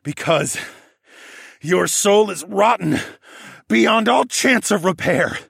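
A man voicing a grim, righteous warrior hero, forceful and declamatory, in a fantasy audiobook. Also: high-pitched, lively intonation, takes it slowly, gravelly, breathy edge, no room sound, clean studio sound, pitched above the performer's own voice, full character voice. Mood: grim verdict.

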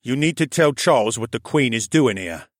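A man voicing a firm, steady male guard in a level tone. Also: medium pitch, normal speed, dry recording, studio quality, lightly acted.

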